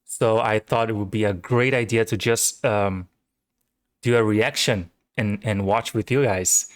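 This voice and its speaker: A man's voice: lowish voice, steady tone, unhurried, no room sound, studio quality. A young man from Brazil with a Brazilian Portuguese accent, casually and enthusiastically hosting his own reaction video, rambling with fillers. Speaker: channel host. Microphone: home microphone.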